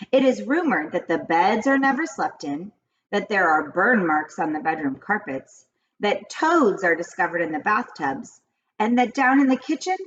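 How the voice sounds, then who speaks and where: A woman voicing an old grandmother in a children's storybook, confiding grave witch lore in a deliberate, dramatic way. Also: mid-range voice, muffled recording. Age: older. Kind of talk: children's storybook read-aloud.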